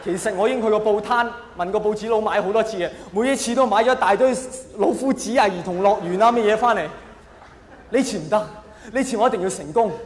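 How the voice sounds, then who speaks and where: Hong kong guy doing standup, pretty high voice, theatrical storyteller, big echoey hall. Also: quick pace, slight background noise. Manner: theatrical storytelling with rhetorical questions. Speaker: stand-up comedian. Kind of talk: stand-up comedy monologue. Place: live on stage in a large hall. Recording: echoey hall with long reverb.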